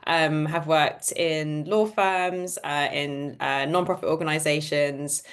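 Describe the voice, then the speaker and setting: A low-pitched woman lawyer speaks on a video call. She presents in a friendly, chatty way, with a casual "like" here and there. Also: steady tone, slow, clean tone. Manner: friendly, chatty, says "like" often.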